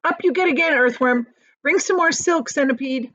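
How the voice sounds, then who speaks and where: A woman's voice: sits high, normal speed, fairly bright tone, voice pushed up. A woman voicing a brave, urgent boy hero giving orders in a children's storybook, in a muffled, roomy recording. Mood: urgent, excited. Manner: urgent, commanding, exclaiming. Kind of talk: children's storybook read-aloud.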